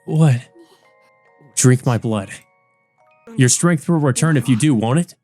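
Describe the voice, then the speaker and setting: A man's voice: medium pitch, takes it slowly, dark tone, soft background music. A man playing a desperate, devoted, grief-stricken young man from a visual novel, pleading and stammering. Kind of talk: visual novel character reading.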